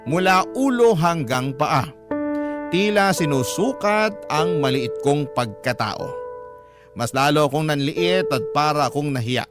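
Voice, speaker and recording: High-pitched Filipino male storyteller over music. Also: expressive, unhurried. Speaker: radio storyteller. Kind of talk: dramatic first-person story narration of a letter.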